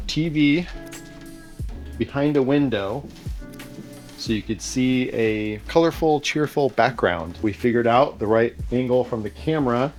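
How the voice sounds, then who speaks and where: A man's voice: medium pitch, darkish tone. A man, a crew member, talking casually about a production in an interview. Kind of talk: casual behind-the-scenes interview. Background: music.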